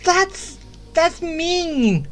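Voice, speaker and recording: A woman's voice: extremely high pitch, very animated, extremely slow, faint music behind. A woman giving casual, musing gameplay commentary as a streamer, in an informal way. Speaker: streamer playing a game.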